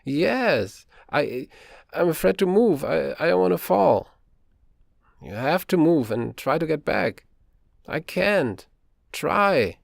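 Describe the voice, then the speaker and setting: A man voicing a frightened teenage girl trapped in a shaft, his voice raised high and strained with fear. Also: very animated, takes it slowly, vocal fry, no echo, studio quality, full character voice. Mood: panicked. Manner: high, strained, fearful pleading.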